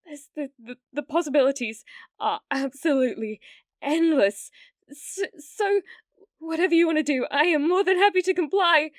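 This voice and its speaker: A British woman playing an obsessive, needy young female streamer in an ASMR roleplay, pleading and stammering, swinging between breathy whispers and outbursts. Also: high-pitched, normal speed, no echo, clean studio sound, lightly acted. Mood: frantic, eager. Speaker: ASMR voice actress.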